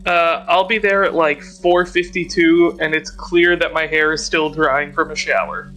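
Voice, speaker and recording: A man's voice: on the high side, fairly even intonation, measured pace, bright, forceful tone, low-quality recording. A man playing a tabletop game, casually speaking in character over a sizzling background noise.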